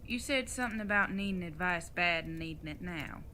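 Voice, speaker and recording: A woman's voice: lowish voice, animated, brisk, pushed and bright, a little hiss or hum. A woman acting in an audio drama as a retired outlaw mother, older, fond and teasing, with a folksy Old West way of talking. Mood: teasing, curious.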